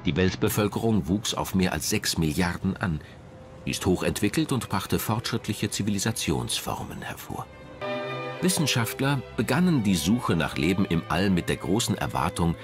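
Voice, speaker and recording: A man reading scripted documentary narration in a measured, dramatic manner. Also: sits low, sing-song. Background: music.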